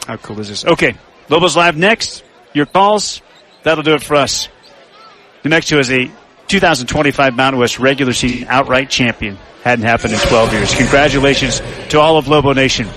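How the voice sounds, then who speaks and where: A man signing off a basketball radio broadcast, excited and proud. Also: mid-range voice, brisk. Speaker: play-by-play broadcaster. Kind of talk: postgame radio sign-off.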